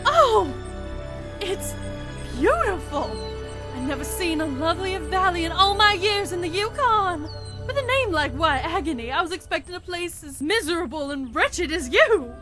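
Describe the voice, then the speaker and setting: A woman's voice: very high-pitched, big pitch swings, normal speed, over music. A woman voicing a feisty, scheming young saloon singer duck in a fan cartoon dub, sassy and sarcastic. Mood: awestruck, then indignant, scornful. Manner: sarcastic, haughty, bold. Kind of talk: fan comic dub voice acting.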